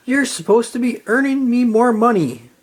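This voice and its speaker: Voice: medium pitch, lively intonation, moderate pace, pitched above the performer's own voice, natural voice. A storyteller voicing a blustering, greedy male crab who owns a restaurant, in a children's storybook, with a proud, bellowing manner. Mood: outraged. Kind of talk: children's storybook read-aloud.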